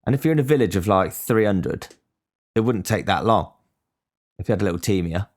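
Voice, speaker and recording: A man's voice: on the low side, expressive, a little fast, darkish tone. A young man giving a blunt, sweary casual monologue as a podcast host. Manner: blunt, swears freely, ranting.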